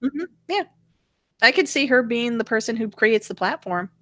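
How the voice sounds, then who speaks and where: A woman's voice: on the high side, animated, normal speed, fairly bright tone, no echo, studio quality. An American woman co-hosting a casual political talk show, speaking in an opinionated, irreverent way. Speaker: podcast co-host.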